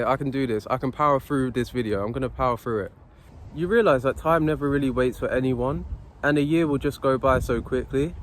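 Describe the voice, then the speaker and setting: A man's voice: mid-range voice, a little hiss or hum. A young man talking to his phone camera on a city street, giving unscripted self-help advice in an earnest, repetitive, preachy way. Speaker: motivational vlogger.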